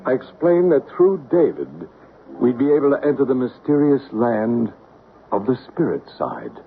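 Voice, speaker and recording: Calm patient medium, coaxing voice, tinny old radio sound. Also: male voice, medium pitch, moderate pace, gravelly, a little hiss or hum. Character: calm psychic medium guiding a séance. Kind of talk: 1970s radio drama acting.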